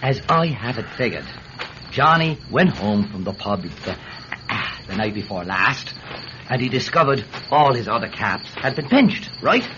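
A man's voice: medium pitch, big pitch swings, a little slow. An actor playing a talkative, wily Irish villager who stammers deferentially as he pleads his innocence in a radio drama. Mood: earnest explaining. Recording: muffled, slightly noisy old-style radio recording.

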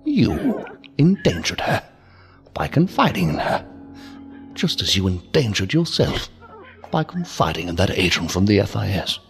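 A man's voice: on the low side, wildly swooping pitch, a little slow, vocal fry, faint music behind, exaggerated voice. A man playing a sinister, courtly, inhuman villain in an audio drama, verbose and mockingly polite in a mannered, theatrical way. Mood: mocking, menacing. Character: sinister, courtly, unhurried villain, inhuman interrogator posing as a gentleman. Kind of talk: science fiction audio drama acting.

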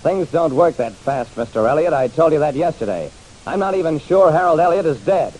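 A man's voice: on the high side, animated, a little fast, darkish tone, low-quality recording. An actor playing a hard-boiled private detective narrating his casebook in a wry, dramatic, punchy radio style. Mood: irritated. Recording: hissy old radio recording.

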